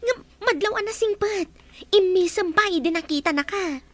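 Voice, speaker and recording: A woman's voice: very high voice, moderate pace. A woman acting a teasing, giggly, outspoken young hotel cleaning trainee in an Ilocano audio drama.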